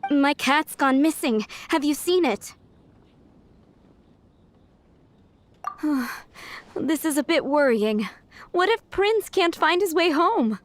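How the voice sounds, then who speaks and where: An easygoing, fretful tavern owner. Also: female voice, high-pitched, expressive, measured pace. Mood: worried. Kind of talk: video game voice acting.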